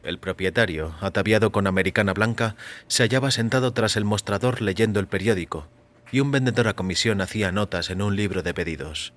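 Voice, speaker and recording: A man reading scripted first-person fiction as narrator, in a measured, composed manner. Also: lowish voice, a bit bright. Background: music.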